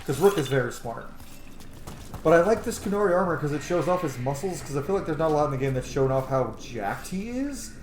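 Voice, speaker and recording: A man's voice: on the high side, very animated, brisk. Rambling man gamer in an echoey room. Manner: rambling, sweary, self-joking. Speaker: video game streamer. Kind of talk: casual let's play commentary. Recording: echoey room with clear background noise.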